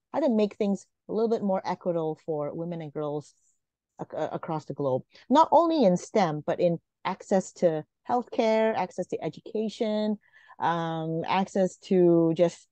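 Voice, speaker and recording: Woman in her 40s from malaysia, soft mellow voice, kinda muffled webcam sound. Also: on the low side, no echo. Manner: earnest, many fillers and restarts. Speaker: guest. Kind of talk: casual interview talk. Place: home room, video call. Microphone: video call webcam. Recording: dull lo-fi video call audio.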